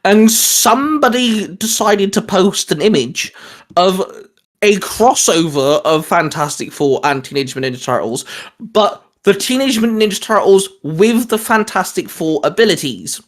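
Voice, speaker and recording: A man chatting casually as a host pitching geeky ideas, rambling and restarting his sentences as he goes. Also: animated, moderate pace. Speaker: host of a comics discussion show.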